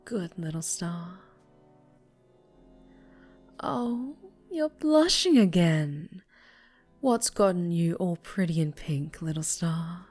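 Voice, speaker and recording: A woman playing an obsessive female superhero captor in an ASMR roleplay, speaking in breathy coos and whispers with sweet, possessive menace. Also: fairly low pitch, expressive, slow pace, long pauses, soft background music. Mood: sweet, teasing. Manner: breathy cooing that slips into whispers and soft menace. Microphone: close microphone.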